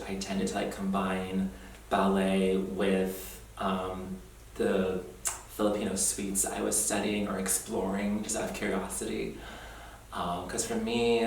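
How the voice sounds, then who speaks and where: Young man, distant and echoey. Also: low-pitched. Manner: earnest, thoughtful, laughs easily. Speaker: oral history interviewee. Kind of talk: reflective personal oral history. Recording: roomy echo, distant mic, faint noise.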